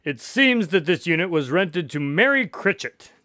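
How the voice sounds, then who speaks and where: A loyal doctor and detective's sidekick in a radio drama, acted by a man. His male voice is fairly high and moves around a lot, proper and practical with a touch of exasperation. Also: sing-song, normal speed, sharp tone, dry recording, studio quality. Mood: curious, exasperated.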